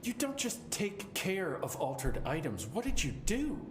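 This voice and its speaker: Lively, wry agency supervisor, roomy sound. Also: male voice, sits high, unhurried, exaggerated voice. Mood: worried, exasperated. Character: flustered, wry male government containment supervisor. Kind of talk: video game dialogue acting. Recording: roomy, clearly noisy game audio in stream.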